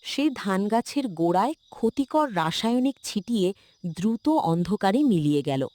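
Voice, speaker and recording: A woman narrator telling a scripted cartoon story in a dramatic, expressive way. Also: mid-range voice.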